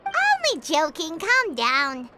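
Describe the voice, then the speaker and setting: Tiny game fairy sidekick, extremely high childlike voice, swooping intonation, bubbly, cheeky, music behind. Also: female voice, huge pitch swings, takes it slowly, heavy background noise, exaggerated voice. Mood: teasing, playful. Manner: refers to self in third person, chirpy. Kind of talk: video game voice acting.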